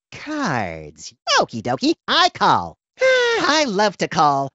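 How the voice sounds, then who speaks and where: Manic high-pitched cartoon rabbit creature. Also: male voice, no echo, highs cut off, exaggerated voice. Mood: gleeful menace. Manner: flippant, theatrical, deadpan absurd menace. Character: manic, violent, gleeful cartoon rabbit-like creature, male, small. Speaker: video game character. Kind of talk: comedic video game voice acting.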